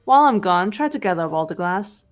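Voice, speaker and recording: A woman playing a cool, analytical teenage girl detective in a game voice-over, speaking in a measured, serious way. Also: mid-range voice, lively intonation, close to an everyday voice. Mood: businesslike. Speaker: amateur voice actor. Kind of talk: fan voice-over of a visual novel game. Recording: game music under the voice.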